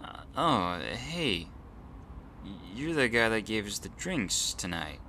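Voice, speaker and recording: A man voicing an excitable, wary young regular guy in a cartoon, casual and exclamatory. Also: lowish voice, slow, gravelly, a little hiss or hum, heavily acted. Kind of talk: animated web series dialogue.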